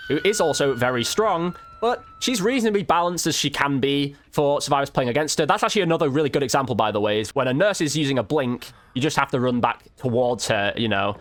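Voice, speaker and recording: A man's voice: mid-range voice, animated, quick pace, hardly any pauses, a bit bright. A man commentating casually over gameplay as a content creator, chatting informally and thinking aloud.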